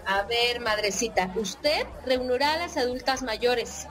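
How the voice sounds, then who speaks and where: An actress playing a bossy but friendly Mexican women's group organizer in a radio soap, colloquial and directive. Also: female voice, fairly high pitch, animated, measured pace, crowd noise. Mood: brisk, organizing. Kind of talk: radio soap opera acting.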